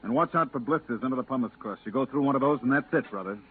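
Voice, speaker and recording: An actor playing a stern, weary moon-base pilot with a clipped, authoritative manner, in a 1950s radio drama. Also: male voice, mid-range voice, racing, husky. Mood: stern, firm. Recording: tinny, hissy 1950s radio recording.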